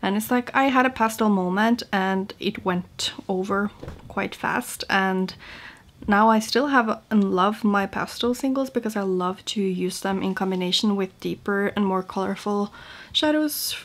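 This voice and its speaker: A woman's voice: mid-range voice, measured pace. Chatty young woman with a Norwegian accent. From Norway. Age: young adult. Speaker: beauty vlogger. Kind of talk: casual chat to camera. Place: small home room indoors.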